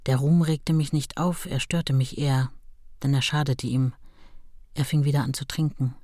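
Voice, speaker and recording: An actress playing the loyal, modest wife of a famous writer in a radio play, speaking in a measured, reflective way. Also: female voice, very deep voice, steady tone, warm tone, no echo, studio quality.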